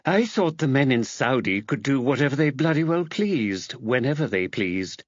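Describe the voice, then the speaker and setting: A man's voice: mid-range voice, very animated, moderate pace, no echo. A man voicing a bossy, catty village woman with a blunt, probing manner in an audiobook, on slightly dull audio. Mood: blunt, sceptical.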